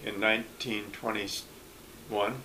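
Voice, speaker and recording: An older man from Michigan recalling his past in a relaxed interview, speaking haltingly with frequent restarts and small chuckles. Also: lowish voice, slight background noise, poor audio. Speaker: oral history interviewee. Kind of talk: casual recollection.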